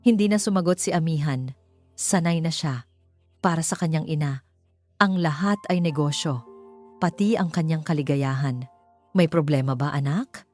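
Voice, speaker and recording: A Filipino woman tells a romantic drama as a scripted story. Her voice sits fairly low and she delivers it with measured drama, with soft music playing behind her. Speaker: storyteller, narrator. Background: background music.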